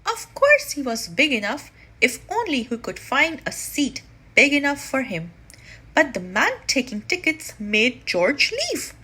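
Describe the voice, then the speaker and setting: A woman reading a children's story aloud, deliberately and expressively, with drawn-out emphasis. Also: sits high, big pitch swings, bright, forceful tone, husky.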